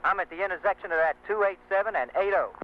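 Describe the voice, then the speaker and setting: A man's voice: high voice, fast. A man playing a friendly, courteous CB radio operator who talks in lingo through a radio filter. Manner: jargon-laden, courteous radio talk. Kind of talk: scripted CB radio demonstration dialogue. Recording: muffled, noisy CB radio transmission.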